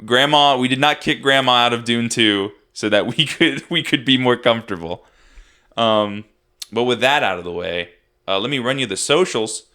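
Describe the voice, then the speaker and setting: Tired gravelly young man chatting. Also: medium pitch, moderate pace, pushed and bright. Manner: rambling, many restarts and fillers. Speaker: podcast co-host. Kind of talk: casual movie review chat.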